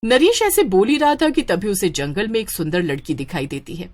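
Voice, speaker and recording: A woman's voice: mid-range voice, very animated, a little fast, darkish tone. A woman storyteller reading scripted narration for a horror story.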